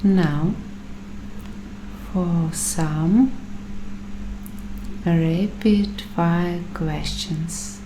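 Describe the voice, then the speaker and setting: Woman playing paranoid bunker-dwelling waitress, ASMR roleplay, hushed, low-pitched, very drawn-out pacing, earnest, long pauses. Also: steady tone. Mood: probing, approving. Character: paranoid waitress turned doomsday prepper interviewing bunker roommates. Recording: clearly audible background noise.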